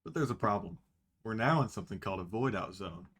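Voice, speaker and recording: A man with a fairly low voice walks through a speedrun glitch in plain technical terms. His delivery is casual and explanatory. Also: animated, quick pace, a bit bright, husky. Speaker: speedrunner commentator.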